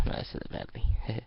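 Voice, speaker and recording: A man giving an amateur dramatic reading of a story, narrating in a halting, deliberate way. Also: dull audio. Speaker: amateur reader.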